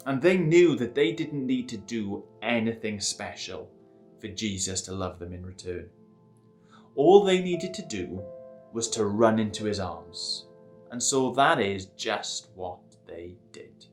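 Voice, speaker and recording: Man, children's story host, playful, lively pitch, slow delivery. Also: soft background music.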